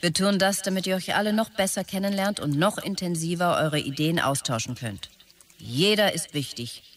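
Terse visionary executive, noisy background. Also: male voice, high-pitched, moderate pace, fairly bright tone. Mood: visionary, resolute. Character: visionary, demanding male startup chief operating officer. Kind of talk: radio drama, characters dictating messages.

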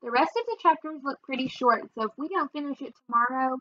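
Young lady teacher doing read aloud for students, kinda high voice, bit muffled webcam audio. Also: fast talker, pushed and bright, no echo. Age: young adult. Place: small indoor room at home. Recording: slightly dull webcam recording.

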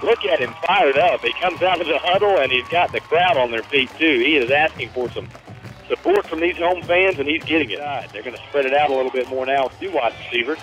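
A man with an American accent calling live football play-by-play on the radio, with excitable, emphatic delivery. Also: fairly high pitch, brisk, faint music behind, poor audio. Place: outdoor high school football stadium at night.

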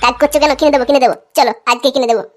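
A woman voicing excitable, foul-mouthed cartoon gangsters, blustering and repeating phrases in a comic dubbing style. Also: high-pitched, rapid-fire, natural voice. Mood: coaxing.

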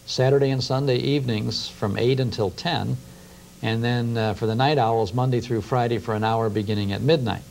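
Gravelly American man explaining with enthusiasm. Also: mid-range voice, steady tone, moderate pace, darkish tone, slight background noise, poor audio. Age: middle-aged. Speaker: guest, radio historian. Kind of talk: conversational interview. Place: small TV studio. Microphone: lapel mic.

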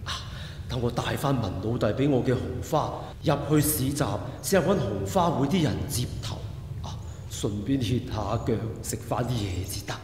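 A man's voice: medium pitch, expressive, normal speed, noisy background, lightly acted. A man with a Hong Kong Cantonese accent playing an elderly martial arts master in a radio drama, humble and formal in his speech. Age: older. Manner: humble, polite, speaks in old formal phrases. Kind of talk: 1990s radio drama acting.

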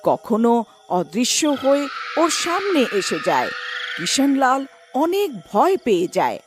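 A woman's voice: sits high, huge pitch swings, a little slow, music in the background. A woman narrator reading a scripted cartoon story.

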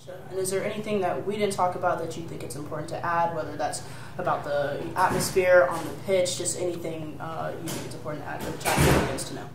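A woman interviewer asking a coach steady, plain questions at a press conference. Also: deep voice, quick pace, noisy background. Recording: roomy, off-mic with faint ambience.

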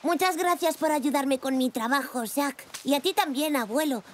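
A woman with a Castilian Spanish accent voicing an eager, curious young schoolgirl zebra in a children's cartoon, bright and polite. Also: high-pitched, normal speed, soft background music, lightly acted. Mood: eager, friendly. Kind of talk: children's animated series dub.

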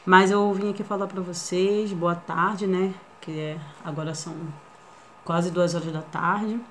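A shy woman in her thirties with a Rio de Janeiro accent talks into a nearby camera in a small living room. Her voice sits a little low, and a faint hum of room ambience runs under her halting, filler-heavy speech. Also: steady tone. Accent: Rio de Janeiro Portuguese. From Brazil. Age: 30s. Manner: shy, hesitant, many fillers and tag questions. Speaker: vlogger. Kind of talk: casual first-vlog introduction.

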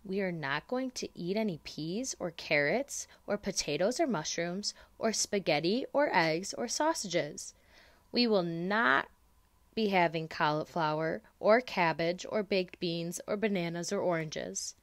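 Woman reading as a crafty boy, animated, unhurried. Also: lowish voice, dry recording. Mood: coaxing, assured. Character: crafty, persuasive older brother, a young boy.

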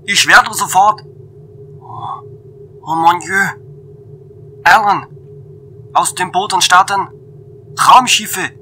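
Man playing an alarmed, high-voiced space station leader. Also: takes it slowly, long pauses, sharp tone, slight background noise, heavily acted. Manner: agitated, breaking off mid-thought. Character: alarmed French male station crew leader. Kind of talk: audio drama acting.

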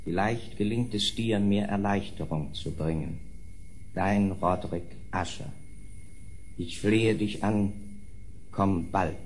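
Over faint noise and ambience comes a lowish-voiced, tormented nobleman. He is weary and anguished, choosing formal words haltingly, in the manner of a gothic radio play. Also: darkish tone, rough recording. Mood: anguished pleading. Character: tormented, weary nobleman pleading with his old friend. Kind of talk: dramatic gothic audio drama acting.

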